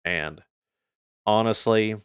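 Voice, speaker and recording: Clear-voiced North American man, casual vlogger. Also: on the low side, slow pace, no room sound, clean studio sound. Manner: informal, rambling, earnest. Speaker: gaming YouTuber and streamer. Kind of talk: casual channel update vlog.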